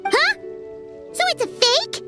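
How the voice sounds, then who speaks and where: A woman's voice: slow, loud music behind the voice, exaggerated voice. A woman voicing a tiny, blunt, greedy female fairy companion who calls herself by name, in a game cutscene. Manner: squeaky, excitable, speaks of herself in third person.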